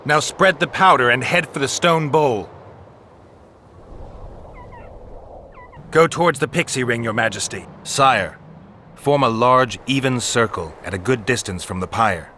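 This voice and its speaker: An actor playing a stoic, world-weary monster hunter in a video game, gravelly and matter-of-fact. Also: male voice, mid-range voice, moderate pace, a little hiss or hum, natural voice. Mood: calling out directions. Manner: terse, flat, matter-of-fact.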